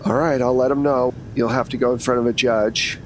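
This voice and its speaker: An easygoing jailhouse lawyer as a man plays him in a tabletop game talks frankly with dry wryness. His pitch is middling for a man. A faint noise runs under the recording. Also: fairly quick, natural voice. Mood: practical. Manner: casual, frank, wry. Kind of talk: improvised tabletop roleplay. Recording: steady background hum and noise.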